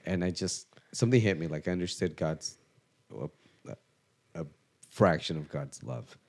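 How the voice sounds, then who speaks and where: A man's voice: low voice, animated, normal speed, vocal fry, a little mellow. Male podcast host, casual and reflective, laughs easily while telling personal stories. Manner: reflective storyteller, laughs often. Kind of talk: casual faith conversation.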